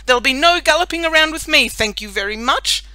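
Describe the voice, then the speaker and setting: A woman voicing a stubborn, loud-mouthed farm maid with rustic, ungrammatical speech in a children's audiobook. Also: high voice, lively intonation, brisk, no echo, voice pushed up. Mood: indignant.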